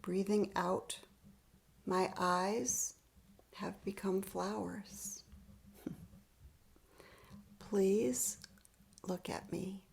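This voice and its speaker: A woman gently leading a spiritual meditation practice over a video call, speaking softly and often half-whispering. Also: medium pitch, steady tone, creaky. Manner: gentle, unhurried, laughs lightly. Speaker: meditation leader.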